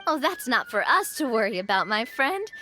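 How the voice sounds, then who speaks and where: A woman's voice: fairly high pitch, very animated, moderate pace, pushed and bright, soft background music. A woman playing a confident, playful young lady with a breezy, persuasive manner in an anime game. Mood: mischievous.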